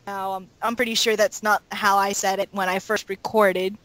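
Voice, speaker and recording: A woman talking casually as a cast member in an interview, animated and emphatic when retelling things. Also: medium pitch, moderate pace, dry recording, low-quality recording. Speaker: audio drama cast member.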